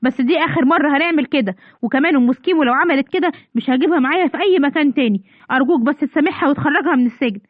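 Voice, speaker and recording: A man's voice: very high voice, rapid-fire, no echo, narrow, tinny audio, pitched below the performer's own voice. Woman doing a meek pleading cartoon dad. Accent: Egyptian Arabic. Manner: pleading, apologetic. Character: meek apologetic cartoon father.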